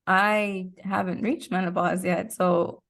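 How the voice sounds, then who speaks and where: A woman's voice: lowish voice, flat delivery, slow, vocal fry, fairly bright tone. A middle-aged woman as an interview guest, explaining her points conversationally in a thoughtful, step-by-step way.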